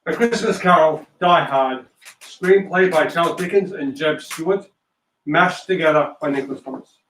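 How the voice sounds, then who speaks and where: A man's voice: on the high side, normal speed, a bit bright, a little hiss or hum. A man acting as host and narrator of a play reading, moving between casual talk and scripted stage directions. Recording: roomy, distant microphone.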